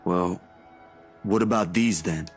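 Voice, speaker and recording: A man's voice: low voice, slow, slightly rough, soft background music, pitched below the performer's own voice, exaggerated voice. A man voicing an earnest young male reporter who asks polite questions.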